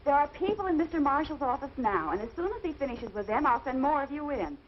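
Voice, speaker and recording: An actress playing a no-nonsense, dryly exasperated secretary with an American accent in a 1940s comedy. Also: female voice, high-pitched, expressive, fast. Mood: brisk, exasperated. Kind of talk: 1940s comedy film acting. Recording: muffled vintage film soundtrack, faint noise.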